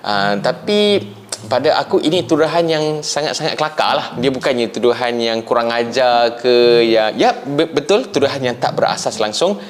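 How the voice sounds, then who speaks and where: A young Malaysian man with a Malaysian Malay accent, giving a casual, sarcastic commentary vlog. He mocks, mutters low, then jumps up to high exclaims. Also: expressive, normal speed, slight background noise. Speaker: YouTube commentator.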